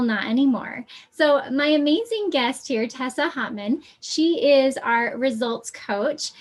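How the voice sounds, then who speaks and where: A woman hosting an interview podcast as a business coach, enthusiastic and upbeat. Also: sits high.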